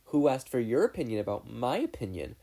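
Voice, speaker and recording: A man's voice: medium pitch, sing-song, fairly quick, dark tone. Man voicing a snarky teenage girl inventor. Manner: dry, snarky.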